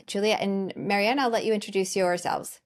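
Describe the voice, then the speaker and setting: A woman's voice: mid-range voice, steady tone, brisk. A middle-aged American woman presenting as the host of a webinar. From United States. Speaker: webinar host, financial planner.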